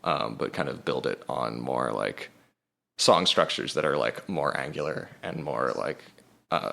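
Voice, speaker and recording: A young man and band singer with a low resonant voice, chatting casually in an interview and rambling with lots of filler words. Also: vocal fry, bright, forceful tone.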